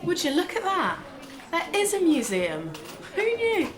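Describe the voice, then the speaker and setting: A woman's voice: very high voice, huge pitch swings, a little slow, heavily acted. Woman acting chatty, curious amateur sleuth. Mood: playful, curious. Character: chatty, curious, playful woman, amateur true-crime podcaster. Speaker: amateur podcaster. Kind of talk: audio drama dialogue.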